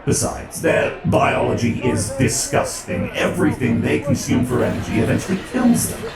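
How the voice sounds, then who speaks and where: A haughty undead machine seer, played by a man, bitter and full of scorn, in a dramatic reading. The voice is heavily creaky and swoops in pitch, sitting far from the mic over music. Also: wildly swooping pitch, normal speed. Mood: disgusted. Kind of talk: dramatic audiobook-style reading. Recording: distant echoey voice under loud music.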